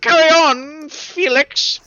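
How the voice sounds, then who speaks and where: Man putting on a high-voiced, smug fat gatekeeper. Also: takes it slowly, pushed and bright, dry recording, highs cut off, faint music behind, full character voice. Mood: smug, grudging. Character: fat, grudging male gate guard with jiggling chins.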